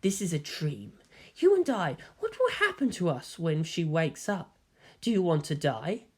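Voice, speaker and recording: A woman's voice: on the low side, sing-song, a little slow, husky, exaggerated voice. A woman reading the lines of a bitter, vengeful woman who lectures coldly and grandly. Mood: scornful.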